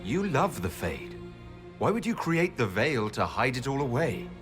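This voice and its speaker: A man voicing a serious, thoughtful male elven leader in a video game, with an earnest, deliberate, probing manner. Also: mid-range voice, big pitch swings, music in the background. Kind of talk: dramatic video game dialogue.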